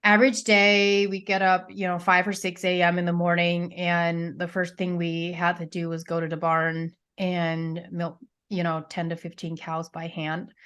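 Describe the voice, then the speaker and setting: A woman's voice: lowish voice, monotone, unhurried, a bit bright, smooth. Chatty woman with a Pennsylvania Dutch accent. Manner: chatty, lots of fillers and restarts. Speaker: podcast guest. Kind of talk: casual interview storytelling.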